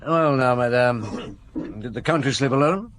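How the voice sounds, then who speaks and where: An actor playing a calm, probing middle-aged chief inspector in a radio drama, asking short, pointed questions with dry humour. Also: male voice, medium pitch, unhurried, slight background noise, lightly acted.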